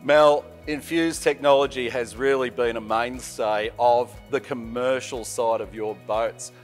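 A man's voice: medium pitch, steady tone, measured pace. An Australian man in his sixties hosting a boating show, interviewing guests in a workshop and at a marina with upbeat, leading questions. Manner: hearty, upbeat, leading questions ending in "isn't it?". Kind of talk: friendly on-location interview. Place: boat workshop and outdoor marina. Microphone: lapel mic. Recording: faint background music.

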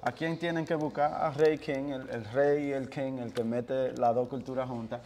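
A man's voice: medium pitch, steady tone, moderate pace, warm tone, big-room sound. Laid-back man mixing English into Spanish. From United States. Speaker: guest musician. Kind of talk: casual interview chat. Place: small office with couches, indoors.

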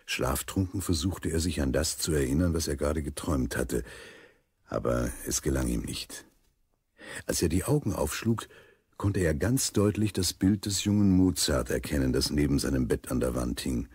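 The storyteller of a children's radio adventure. His voice is extremely deep and rough-husky, recorded dry and close, and he tells the tale gravely without hurry. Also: lively intonation, normal speed, a little mellow, no room sound, heavily acted. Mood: suspenseful storytelling. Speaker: narrator. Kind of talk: scripted radio play narration for children.

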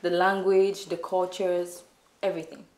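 A young woman, chatting warmly as an interview guest with lots of "like" fillers. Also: lowish voice, flat delivery, measured pace, roomy sound. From Nigeria. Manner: warm, upbeat, many "like" fillers. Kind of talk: casual interview answers. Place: indoor studio on a sofa. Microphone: off-camera shotgun mic.

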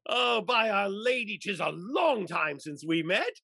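Effusive old gentleman played by a man, creaky, bright forceful edge, stubborn, period comedy. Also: high voice, expressive, unhurried, dry recording, studio quality, full character voice. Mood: effusive, jolly. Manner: effusive, exclamatory. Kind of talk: theatrical period comedy acting over video call. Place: indoors, on a video call.

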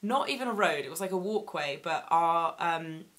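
A young woman with a fairly low voice, still a little croaky from losing it to illness. She chats eagerly and casually through a fashion haul, filling the gaps with ums and likes. She is recorded on a camera mic in her bedroom. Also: lively intonation, normal speed, fairly bright tone. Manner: chatty, enthusiastic, many ums and likes. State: slightly croaky after laryngitis. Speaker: fashion vlogger. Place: bedroom, indoors.

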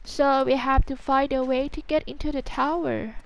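A woman's voice: high voice, fairly even intonation, moderate pace, vocal fry, rough recording. A woman commentating on her own gameplay, talking casually to herself in short phrases. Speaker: gamer commentating a playthrough. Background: video game music and sounds.

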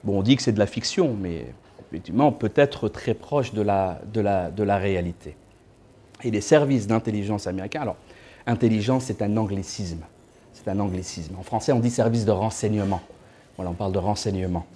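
A man's voice: lowish voice, brisk, warm tone, slightly rough. A man in his thirties, seated in an indoor room and filmed from a distance, giving a talk as a guest speaker. He speaks conversationally and tosses joking asides to the audience. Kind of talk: lecture to a small audience.

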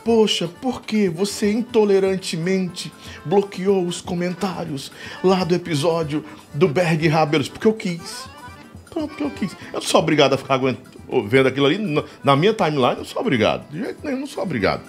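A man from Piauí, hosting a podcast in animated, joking, rambling casual chat. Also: high-pitched, brisk, soft background music. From Piauí, Brazil. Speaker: podcast host.

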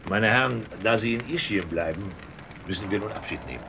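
An actor playing a determined courier in a radio play, with a resolute, formal way of talking. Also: male voice, lowish voice, a little fast, vocal fry, over loud music. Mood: courteous, resolute. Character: determined young courier posing as a merchant. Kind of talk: German radio play acting.

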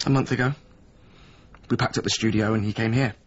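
An actor playing a weary, resentful former apprentice of a painter in a naturalistic radio drama, with a husky voice. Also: male voice, fairly low pitch, fairly even intonation, brisk, lightly acted. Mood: grave, hushed. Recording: slightly muffled radio drama recording.

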